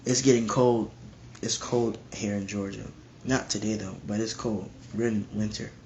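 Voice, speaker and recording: A man with a stuffy nose casually reading Bible verses aloud and explaining them in slangy, animated commentary. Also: lowish voice, normal speed, slight background noise. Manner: animated, slangy, acts out voices.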